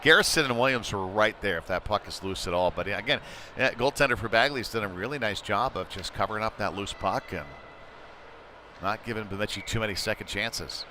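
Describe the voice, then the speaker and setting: A man's voice: on the low side, fairly quick, slight background noise. A man giving informal, conversational play-by-play commentary on an amateur hockey game. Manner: conversational and informal, excited when play heats up. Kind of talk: live amateur sports commentary.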